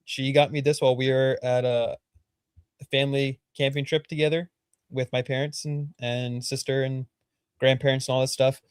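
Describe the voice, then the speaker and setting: Young male co-host, mid pitch, loose and talkative, dry close sound. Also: flat delivery, moderate pace, smooth, no room sound. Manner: chatty, laughs often, rambling asides. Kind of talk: casual live-stream chat.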